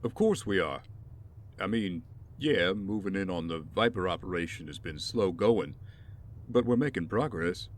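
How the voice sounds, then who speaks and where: A man playing a steady, supportive ex-soldier sidekick in an audio drama, conversational and encouraging. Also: low-pitched, normal speed. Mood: concerned encouragement. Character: steady, supportive ex-soldier, male partner of a vigilante. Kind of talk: scripted audio drama acting. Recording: background noise with ambience.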